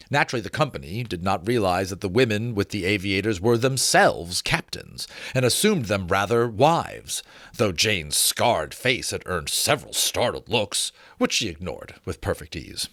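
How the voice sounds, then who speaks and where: A male audiobook reader delivers dramatic narration in a fairly low voice. His pitch moves freely from phrase to phrase, and the recording is dry, with little room around it. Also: fairly bright tone, no echo. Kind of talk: expressive dramatic narration of a novel.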